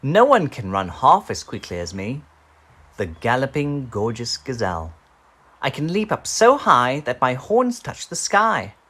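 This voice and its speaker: A man's voice: medium pitch, wildly swooping pitch, a little slow, pushed and bright, husky, voice pushed up, full character voice. A man voicing a vain, graceful, boastful gazelle from a children's storybook in a showy, self-admiring manner.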